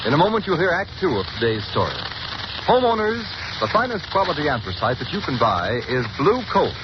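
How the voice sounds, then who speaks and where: A man's voice: a little high, sing-song, a little fast, highs cut off. A man reading a commercial as a radio announcer in an earnest, persuasive 1930s style. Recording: scratchy 1930s radio broadcast recording.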